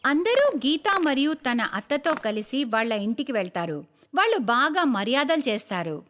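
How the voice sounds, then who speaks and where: High-pitched, animated woman storyteller. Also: moderate pace. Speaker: narrator. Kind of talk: scripted narration of a moral story.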